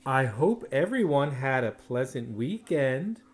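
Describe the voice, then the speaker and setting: A man's voice: medium pitch, sing-song, unhurried. A man voicing a kindly, cheerful female schoolteacher in a storybook, with an encouraging classroom manner. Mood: pleasant. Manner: bright, encouraging classroom delivery.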